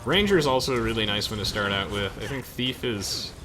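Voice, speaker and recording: A man's voice: fairly low pitch, lively intonation, a little fast, a crowd in the background. A young man giving casual video game commentary to friends as the host of a playthrough. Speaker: let's play host. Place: game voice chat with music behind.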